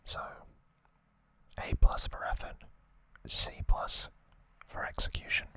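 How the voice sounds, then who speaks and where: Slow whispering ASMR man. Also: pushed and bright, no echo. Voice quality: breathy, almost entirely unvoiced whisper. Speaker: ASMR host. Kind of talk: whispered ASMR trivia commentary to viewers.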